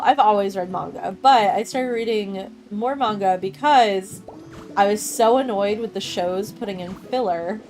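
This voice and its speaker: A woman's voice: mid-range voice, darkish tone. A young adult woman streaming a game, chatting casually with viewers, rambling from thought to thought and laughing often. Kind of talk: casual gameplay chat with viewers.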